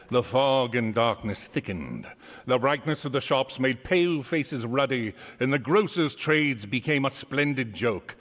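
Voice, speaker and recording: A man narrating a classic story in a dramatic, scripted storybook style for radio drama. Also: mid-range voice.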